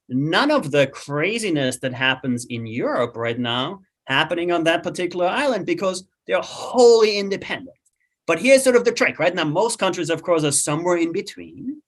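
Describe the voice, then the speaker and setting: A man with an Austrian accent, a guest expert explaining things in a podcast conversation, lively and thinking aloud with many "um"s and "right?"s. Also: medium pitch.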